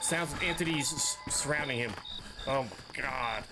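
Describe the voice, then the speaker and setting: A man's voice: mid-range voice, normal speed, heavy background noise. A man giving casual commentary as he plays a horror game, reading screen text aloud with joking, offhand asides. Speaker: streamer playing a horror game.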